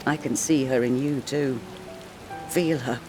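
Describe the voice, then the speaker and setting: An actress playing a middle-aged grieving mother in a video game, tender and gently scolding, with measured, heartfelt phrasing. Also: a bass voice, fairly even intonation, poor audio. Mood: proud, tender. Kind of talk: dramatic video game voice acting. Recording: rain and music behind. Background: rain, music.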